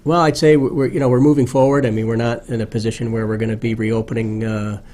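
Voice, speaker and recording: A man speaking as a public safety official, flat and matter-of-fact as he answers an interview question. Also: mid-range voice, quick pace.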